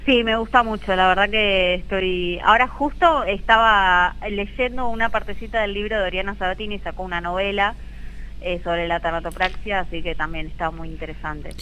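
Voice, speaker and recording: Bright-voiced Argentine woman in her thirties. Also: mid-range voice, a little hiss or hum, poor audio. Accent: Argentine Spanish. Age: 30s. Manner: earnest, lots of quick "sí, sí" agreement. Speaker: guest, embalmer. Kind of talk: radio interview.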